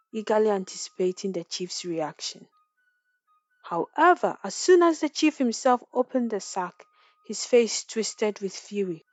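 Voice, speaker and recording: A woman's voice: mid-range voice, no echo. A woman storyteller narrating a folktale in a scripted, dramatic style.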